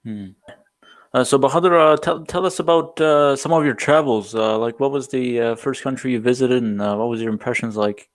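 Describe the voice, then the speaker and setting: A man with a middle-pitched voice hosts a conversational podcast. He is casual and a little hesitant as he puts his questions and thoughts to a guest. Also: brisk. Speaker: podcast host.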